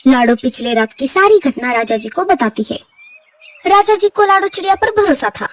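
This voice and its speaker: A woman's voice: high-pitched, expressive, normal speed, distant mic. A woman reading scripted narration for a children's cartoon story.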